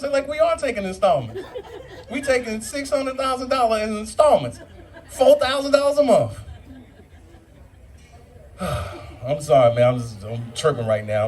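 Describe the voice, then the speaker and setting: A man's voice: extremely high pitch, a little mellow, slight background noise. A man doing a brash, profane stand-up set in a brew pub comedy club, acting out other people in his bits.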